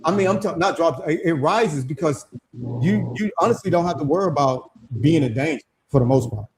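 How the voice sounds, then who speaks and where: Man, middle-aged, raspy, fairly high-pitched, laid-back, slangy, casual chat. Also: expressive, brisk, a little mellow, a little hiss or hum, low-quality recording. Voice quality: deep raspy voice. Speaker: guest living abroad.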